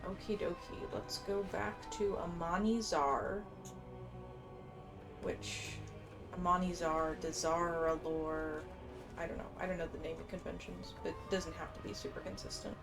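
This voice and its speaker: Female gamer, lowish pitch, informal thinking-out-loud playthrough style, game music underneath. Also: steady tone, unhurried. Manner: musing aloud, informal. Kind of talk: casual gameplay commentary.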